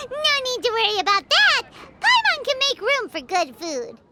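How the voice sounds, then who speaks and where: A woman voicing a tiny, gluttonous, excitable fairy girl companion with a squeaky childlike voice in a video game. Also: a little slow, heavy background noise, full character voice. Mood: excited, cheerful. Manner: refers to self in third person, exclaiming.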